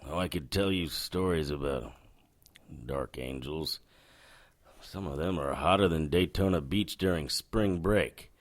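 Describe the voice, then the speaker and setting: Low-voiced, world-weary hardboiled investigator. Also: male voice, measured pace. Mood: drunken bitterness. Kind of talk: noir audio drama monologue.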